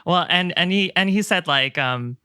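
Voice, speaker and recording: Bright, clear-voiced man chatting as podcast guest. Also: high-pitched, normal speed, no echo, clean studio sound. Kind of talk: casual podcast chat.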